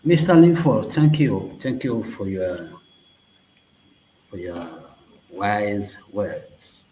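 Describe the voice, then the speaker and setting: African male host, English, slow and emphatic, roomy echo. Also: on the low side, dark tone. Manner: slow, emphatic, repeating questions. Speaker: panel host. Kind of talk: panel discussion. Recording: echoey room.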